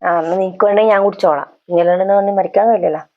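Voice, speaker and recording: A woman's voice: mid-range voice, fairly even intonation, very fast, phone-quality sound, slight background noise, natural voice. A woman playing a pushy, scolding older mother in a comedy sketch, speaking with a Kannur accent. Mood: huffy. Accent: Kannur, north Kerala. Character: nagging, colour-obsessed older mother of pregnant woman.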